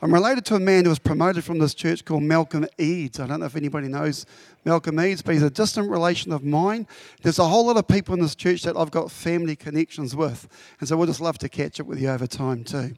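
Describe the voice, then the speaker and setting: A man's voice: fairly high pitch, fast talker, darkish tone, no echo. A man from Papua New Guinea, who grew up speaking Tok Pisin, addressing a church congregation as its incoming pastor in a heartfelt, fervent way.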